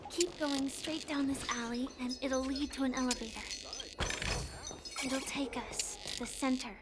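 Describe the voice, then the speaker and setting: A woman's voice: high voice, fairly even intonation, unhurried. An actress playing a businesslike young female guide in a video game. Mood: matter-of-fact. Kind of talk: video game character dialogue. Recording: loud noise behind voice.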